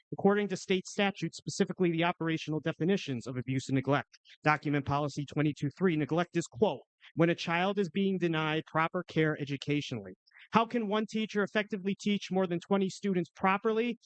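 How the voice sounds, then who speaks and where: A man's voice: high-pitched, clean tone, no room sound, rough recording. A man delivering prepared, argumentative remarks at a public meeting, speaking emphatically and combatively with rhetorical flourishes. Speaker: public commenter at a school board meeting.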